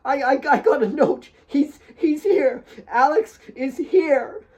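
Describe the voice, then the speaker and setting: Male reader as desperate young woman, high strained pitch, panicked repeated words, audiobook drama, slightly dull recording. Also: expressive, takes it slowly, heavily acted. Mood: frantic, disbelieving. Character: frantic young woman, desperate for her lost love.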